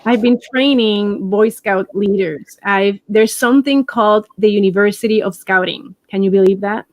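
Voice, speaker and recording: A woman in her forties with a Puerto Rican accent speaks at a mid pitch, warm and encouraging. She often restarts and repeats words as she chats. The recording is dry, with no room sound. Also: normal speed, no room sound. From Puerto Rico. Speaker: interview guest. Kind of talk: casual interview.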